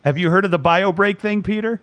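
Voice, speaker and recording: A man's voice: sits high, a little fast, fairly bright tone, no echo. Male host, casual stream chat, rambling, dry close sound. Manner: rambling, many restarts and fillers.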